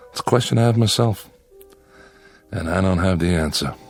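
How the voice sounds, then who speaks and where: Deep-voiced lonely man of radio drama. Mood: wistful, confessional. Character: lonely man devoted to his android companion, yearning, defensive. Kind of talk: dramatic radio acting with confessional narration.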